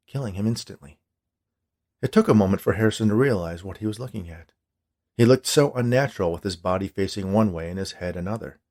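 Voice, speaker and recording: A man's voice: fairly low pitch, vocal fry, darkish tone. A man reading a scripted story as its narrator.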